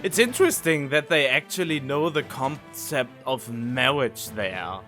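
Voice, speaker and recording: A man's voice: mid-range voice, expressive, measured pace, sharp tone. A man giving casual reaction commentary on game cutscenes, puzzled and thinking aloud as he goes. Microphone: studio condenser microphone. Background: music from game cutscenes.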